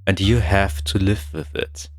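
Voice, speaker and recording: A man voicing a calm, cold male intruder who claims the room as his own, speaking in a flat, slow, unbothered way. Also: low-pitched, over music. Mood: coldly calm.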